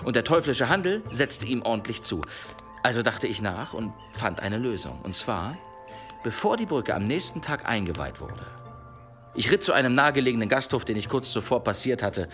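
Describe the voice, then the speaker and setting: A polite, crafty traveller spinning a tale; expressive medium-pitched voice over prominent music, radio play. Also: male voice. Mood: sly storytelling. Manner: polite, unhurried storytelling. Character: courteous male traveller telling a sly tale. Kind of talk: German radio play acting. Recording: music under the voice.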